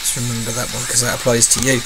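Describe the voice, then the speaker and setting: A man's voice: mid-range voice, completely flat delivery, rapid-fire, poor audio. A young man, congested from a cold and breathing heavily, rambling bluntly as he answers viewer questions. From England. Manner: rambling, blunt, swears freely. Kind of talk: rambling casual monologue. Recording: loud background music.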